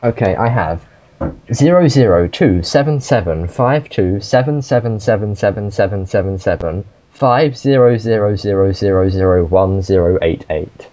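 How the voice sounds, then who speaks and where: A man's voice: fairly low pitch, normal speed, warm tone, natural voice, poor audio. A man playing a muddled old foreign lady on the phone. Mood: confused. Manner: fumbling, mishears numbers. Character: flustered foreign old woman, hard of hearing.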